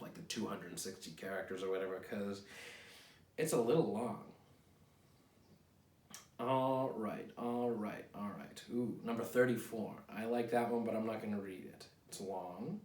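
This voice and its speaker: A man in his thirties with a fairly low voice talks casually and haltingly, poking fun at himself. He sounds a little far from the microphone in a small, echoey room at home. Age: 30s. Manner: self-deprecating, hesitant, halting. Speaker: writer promoting own poetry book. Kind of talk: rambling casual chat to camera. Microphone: camera a few feet away. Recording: roomy, distant from the mic.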